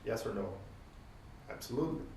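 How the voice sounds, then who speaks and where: A man whose first language is Portuguese, musing aloud as host in a reflective solo talk, pausing often and posing questions to the listener. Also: medium pitch, animated, darkish tone. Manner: thoughtful, pauses often, rhetorical questions. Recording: roomy, distant from the mic, faint ambience.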